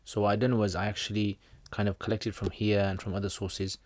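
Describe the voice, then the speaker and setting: A man's voice: lowish voice, flat delivery, brisk, no echo. A man teaching and hosting a livestream, chatting casually with viewers in a jokey, rambling way with slangy asides. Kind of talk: casual livestream chat, answering viewer comments.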